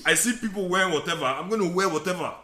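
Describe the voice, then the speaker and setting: A man's voice: sits high, rapid-fire, sharp tone. A man from Nigeria telling stories in casual chat, laughing often and acting out other people's lines. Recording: roomy echoey room.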